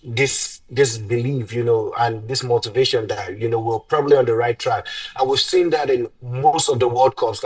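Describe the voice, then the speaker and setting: A man's voice: medium pitch, no room sound, recorded from a distance, poor audio. A young man rambling through his opinions on a sports podcast, laughing between thoughts. He sits back from the mic, and the room sounds dry and dead. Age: young adult. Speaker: podcast co-host. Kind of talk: casual sports podcast chat.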